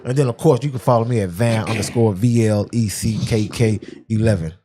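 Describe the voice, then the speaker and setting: A man's voice: on the low side, fairly quick, warm tone. A man hosting a casual podcast, speaking in a laid-back way with short interjections. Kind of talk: casual chat.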